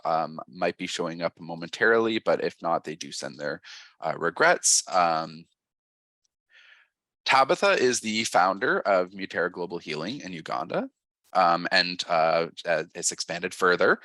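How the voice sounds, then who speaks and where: A man's voice: deep voice, sharp tone, dry recording. A man moderating a panel discussion, reading the introduction hesitantly with many fillers. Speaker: panel moderator, policy researcher.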